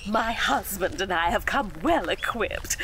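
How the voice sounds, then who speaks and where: A woman's voice: medium pitch, expressive, a little slow, noisy background, lightly acted. A woman playing an eager, chatty day tripper in an audio drama. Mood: excited, chatty. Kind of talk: audio drama character acting.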